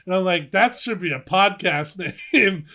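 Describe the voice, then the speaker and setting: A young man co-hosting a casual podcast, telling stories in an animated, giggly way. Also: high voice, unhurried. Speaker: podcast co-host. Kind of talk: casual podcast chat, reminiscing.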